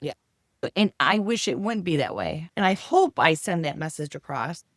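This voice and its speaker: A woman's voice: deep voice, sing-song, no echo, studio quality. A woman author being interviewed on a podcast, chatty and emphatic as she answers. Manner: chatty and emphatic, quick agreements. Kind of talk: casual interview talk.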